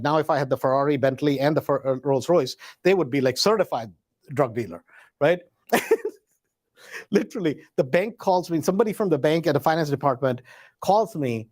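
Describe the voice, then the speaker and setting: A man with a high-pitched voice spins a personal anecdote in a casual, conversational style. He leans on emphatic repetition and slang, and acts out the back-and-forth dialogue as he goes. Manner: animated retelling with acted dialogue, emphatic repetition. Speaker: host.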